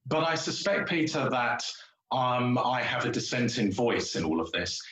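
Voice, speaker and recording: A man offers political analysis in a medium-pitched voice. He phrases things formally and deliberately, and the room is noticeably echoey. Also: sing-song, fairly bright tone. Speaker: panel commentator. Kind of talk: live political commentary.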